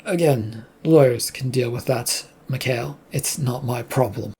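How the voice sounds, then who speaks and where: A narrator voicing a calm, detached male worker who is quitting, in a laid-back, hesitant manner for an audio story. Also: medium pitch, unhurried, breathy edge.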